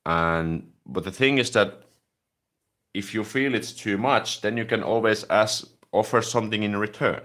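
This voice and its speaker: Low-voiced man chatting casually. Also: a little slow. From Finland. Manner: relaxed, chatty, leans on "like" as filler. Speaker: language-learning YouTuber. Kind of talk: casual live stream, answering viewer comments.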